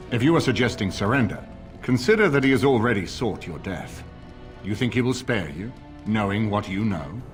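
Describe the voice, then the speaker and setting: Casting: stately middle-aged fantasy game lord, low register, formal and authoritative, lively intonation. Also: male voice. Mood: stern, commanding. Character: grave older nobleman lord rallying allies against a usurper. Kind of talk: video game voice acting, dramatic dialogue.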